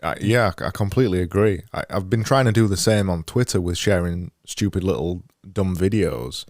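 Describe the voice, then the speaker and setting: A man in his thirties with a Yorkshire accent, hosting an interview and speaking softly with frequent stumbles and repeated words. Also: deep voice, no echo, studio quality. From England. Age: 30s. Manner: halting, repeats words, soft-spoken. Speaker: podcast host. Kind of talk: casual video-call interview. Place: home studio room. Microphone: studio mic on boom arm with headphones.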